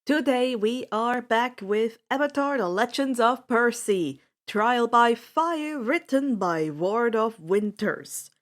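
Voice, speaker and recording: A woman hosting her own channel and reading fan fiction aloud as an expressive, theatrical amateur audiobook narrator. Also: fairly high pitch, slow pace, hardly any pauses. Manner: theatrical, acts out dialogue.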